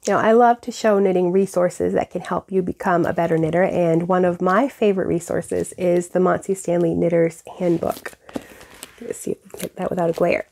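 Stuffy-nosed woman, low gravelly voice. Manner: relaxed, chatty, frequent fillers. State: stuffy nose from a cold. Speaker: knitting podcast host. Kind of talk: casual chat to camera. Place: home craft room with bookshelves. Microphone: lapel mic.

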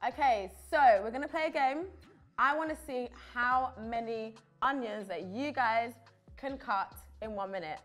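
Woman presenter, high and playful, teasing challenge host. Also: normal speed, a bit bright, faint music behind. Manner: playful, bossy, hypes contestants. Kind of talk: comedic hosting of challenges.

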